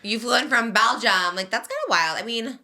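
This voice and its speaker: A woman's voice: a little high, a little fast, pushed and bright. A woman hosting a podcast, chatting casually about celebrity gossip in an incredulous, questioning way. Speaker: podcast host.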